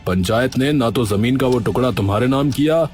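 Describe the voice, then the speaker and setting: A man playing a scheming village headman in a cartoon story, smooth at first but bossy and dismissive. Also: mid-range voice, fairly quick, a little mellow, faint music behind, natural voice. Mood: stern, bullying. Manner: falsely friendly, then bossy and dismissive. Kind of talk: Hindi cartoon story acting.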